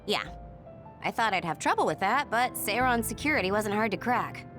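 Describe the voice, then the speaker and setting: A woman acting a savvy young hacker in an English anime game dub. She speaks at a middling pitch with a blunt, sardonic, casual edge. Music plays clearly behind her. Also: lively intonation, normal speed, close to an everyday voice. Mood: earnest.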